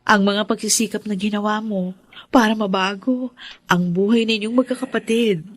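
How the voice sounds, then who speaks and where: An actress with a Filipino accent playing a loving, sincere young sweetheart in a tender, earnest radio drama style. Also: female voice, mid-range voice, fairly even intonation, moderate pace, close to an everyday voice. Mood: loving, proud.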